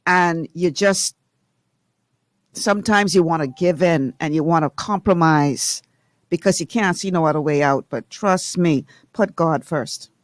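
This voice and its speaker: A radio show host with a Bahamian accent, chatting casually and excitedly before turning to earnest, preacher-like encouragement. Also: unhurried, no echo. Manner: animated, laughing, swings into high excited tones.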